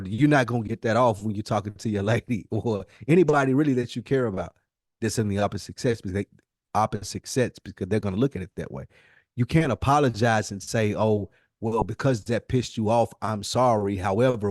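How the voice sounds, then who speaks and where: A man's voice: medium pitch, a little mellow, dry recording. Insistent man debating on a podcast, dry sound. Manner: insistent, repeats points for emphasis, slangy. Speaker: podcast co-host. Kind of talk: casual debate with a co-host.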